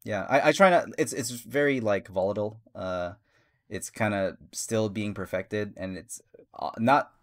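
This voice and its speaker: Man, thirties, lowish voice, podcast co-host, rambling, enthusiastic, lots of fillers. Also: a little slow. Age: 30s. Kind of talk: casual podcast chat.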